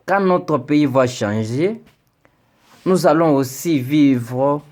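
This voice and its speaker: Slow, earnest young Congolese man. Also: fairly high pitch, darkish tone. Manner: earnest, pleading, rising into indignation with repeated stammered words. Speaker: citizen addressing the president. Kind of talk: spoken video message.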